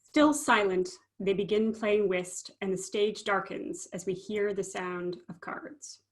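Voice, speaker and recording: A woman narrates scripted stage directions at a slow pace. Her voice sits at a middle pitch for a woman, as in a play reading.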